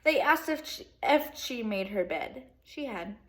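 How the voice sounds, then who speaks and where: A woman teacher reading a children's picture book aloud in an expressive way, with casual asides to young pupils. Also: fairly high pitch, a little slow. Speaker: second grade teacher.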